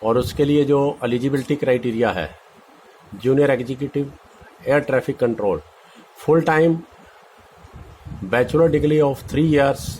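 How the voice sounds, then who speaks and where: A man's voice: on the high side, a little mellow, slight background noise, low-quality recording. An Indian man presenting an informal, instructive explainer, mixing in English terms and repeating key points. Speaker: exam-prep presenter.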